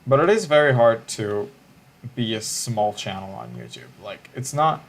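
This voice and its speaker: A man's voice: fairly low pitch, wildly swooping pitch, moderate pace, creaky. A man, chatting casually on a livestream in a musing, hesitant way with frequent restarts. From Israel.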